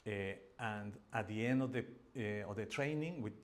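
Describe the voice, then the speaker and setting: A man's voice: fairly low pitch, moderate pace. Hesitant Peruvian-accented man presenting. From Peru. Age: middle-aged. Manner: hesitant, many fillers and restarts. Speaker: academic presenter. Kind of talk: seminar presentation.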